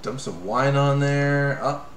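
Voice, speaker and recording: A young man from Canada, co-hosting a live game stream in casual chat, rambling and joking with quick asides. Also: mid-range voice, roomy sound, a little hiss or hum. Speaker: let's play co-host. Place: small room at home.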